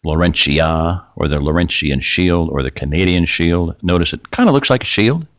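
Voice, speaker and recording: A middle-aged man hosting a rambling, folksy screen-share talk, his voice getting raspy and dry. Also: sits low, animated, normal speed, fairly bright tone, no echo. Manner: rambling, folksy, self-interrupting. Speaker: video host.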